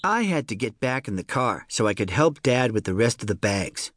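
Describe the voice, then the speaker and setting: A man narrating a children's story in the first person, in an expressive, conversational storytelling manner. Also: medium pitch, moderate pace, heavy background noise. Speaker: audiobook narrator.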